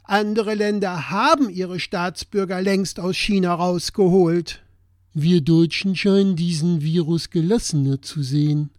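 A man's voice: high voice, steady tone, measured pace, no room sound, clean studio sound. A man acting as a concerned citizen in a scripted satirical dialogue about current affairs. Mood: matter-of-fact. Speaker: audio play dialogue speaker.

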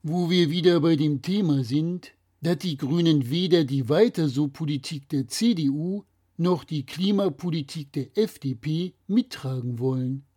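A man's voice: fairly high pitch, measured pace, dark tone, breathy edge. A man presenting a scripted political explainer in a didactic, critical way.

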